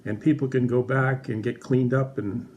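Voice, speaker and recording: A man's voice: mid-range voice, steady tone, normal speed, a little mellow. A man presenting a casual Bible lesson for children in a puppet show, explaining things simply and conversationally.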